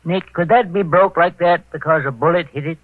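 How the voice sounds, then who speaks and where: An actor playing a folksy, homespun detective's sidekick in 1940s radio style, on an old recording. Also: male voice, a little high, a little fast, dry recording, narrow, tinny audio, lightly acted. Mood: easygoing, folksy. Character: folksy, plain-spoken male sidekick to a detective. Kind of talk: 1940s radio character acting.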